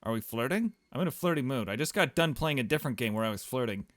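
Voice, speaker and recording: A man's voice: medium pitch, animated, fast, no echo. A man giving casual playthrough commentary as a game streamer, with playful, wry asides.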